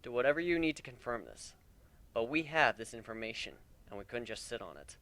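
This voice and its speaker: A man acts out a tired, blunt pony warden from an animated-fantasy fan story. He is wry and sarcastic, with a bright mid-range voice and a very dry, close sound. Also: medium pitch, a little fast, no echo. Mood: weary, defensive. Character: world-weary, wry married male pony warden.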